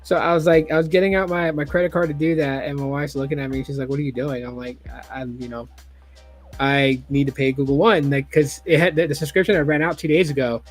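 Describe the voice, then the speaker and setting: Host, man, 40s, fairly high voice, loose and profane, faint music underneath. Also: fairly even intonation, fast. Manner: casual, swears freely, acts out quotes. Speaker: YouTube host. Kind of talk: casual podcast chat.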